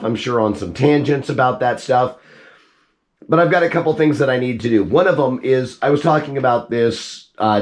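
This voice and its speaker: A man whose voice is hoarse and cracking from a cold talks casually to his viewers. He rambles and restarts his sentences while he takes a machine apart. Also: medium pitch. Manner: rambling, with frequent restarts and asides. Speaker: YouTube host. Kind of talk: casual chat while tinkering.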